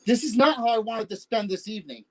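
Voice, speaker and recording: A woman playing a defensive, evasive older husband, a Vietnam veteran, halting and exasperated in a naturalistic play reading. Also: a little high, a little mellow. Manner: halting, defensive, repeats words. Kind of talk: virtual staged play reading, naturalistic dialogue.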